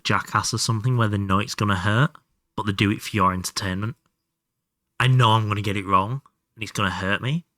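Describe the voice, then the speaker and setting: A man's voice: on the low side, pushed and bright, no room sound, clean studio sound. A man doing casual live game commentary and chatting to viewers, sweary and self-deprecating. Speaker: live streamer playing a video game.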